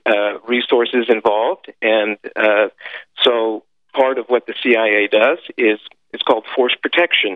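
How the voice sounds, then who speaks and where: A man whose first language is French, a guest telling his story in a radio interview, pausing often with filler sounds and restarts. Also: mid-range voice, no echo. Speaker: guest, former intelligence officer. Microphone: phone call. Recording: tinny phone line, dry.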